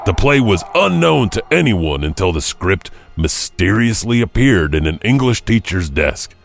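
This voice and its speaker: Guy doing spooky janitor horror host, real slow and deep, theatrical storyteller, gravelly. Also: very animated, soft background music, full character voice. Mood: ominous. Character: mysterious male janitor host spinning eerie legends.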